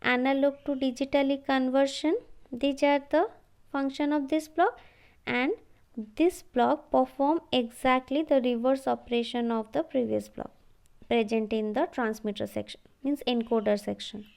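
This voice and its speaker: A woman giving an explanatory slide lecture, halting and repeating phrases as she goes. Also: high voice, steady tone, creaky, darkish tone, clear, resonant tone. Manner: halting, repeats phrases, often says okay.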